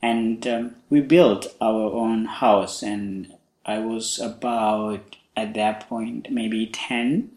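Monk guy from sri lanka, talks slow with lots of ums, low voice, echoey room. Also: steady tone, a little mellow. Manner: slow, halting, many fillers. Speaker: podcast host, Buddhist monk. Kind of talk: casual spoken monologue.

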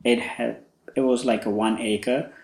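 Slow, halting man from Sri Lanka. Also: medium pitch, fairly even intonation, darkish tone, echoey room. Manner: slow, halting, many fillers. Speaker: podcast host, Buddhist monk. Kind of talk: casual spoken monologue.

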